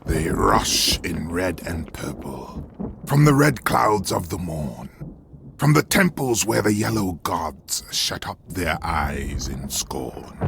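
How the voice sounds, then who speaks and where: An actor playing a menacing, mocking Cyclops villain in a video game, with an ominous, theatrical delivery. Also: male voice, low voice, gravelly, background noise, full character voice.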